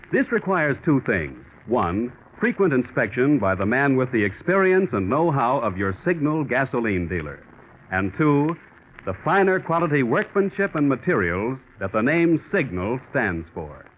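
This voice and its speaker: Casting: male 1940s radio ad announcer, formal, emphatic, animated intonation, phone-like vintage sound. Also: medium pitch. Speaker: commercial announcer. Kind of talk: 1940s radio advertising, scripted pitch. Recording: tinny, faintly noisy 1940s radio recording.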